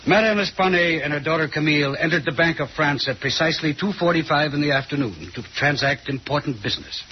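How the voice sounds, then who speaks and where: An actor playing a self-assured, courteous gentleman detective in an old radio mystery, with pompous, precise formality. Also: a little high, quick pace, lightly acted. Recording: muffled, hissy old radio recording.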